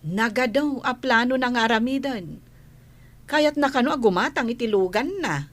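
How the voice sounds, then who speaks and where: Practical village woman acted by a woman, rather high pitch, Ilocano accent, radio drama dialogue. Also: expressive, moderate pace. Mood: matter-of-fact.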